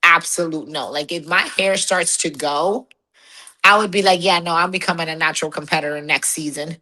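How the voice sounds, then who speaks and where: Raspy woman competitor, frank and animated, bright edge to the voice. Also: low voice, normal speed. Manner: blunt, laughs often, animated. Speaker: fitness competitor guest. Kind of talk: casual interview chat.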